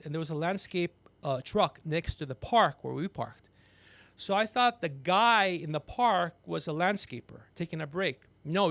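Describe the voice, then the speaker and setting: A gregarious man hosting a podcast, telling rambling comedic stories with animated, teasing asides. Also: high-pitched, no echo. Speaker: podcast host. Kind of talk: rambling comedic storytelling on a livestream.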